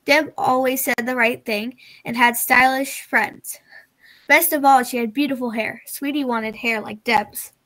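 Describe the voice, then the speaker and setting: A woman's voice: a little high, steady tone, measured pace, a bit bright, rough recording. A teenage girl reading a picture book aloud over a video call.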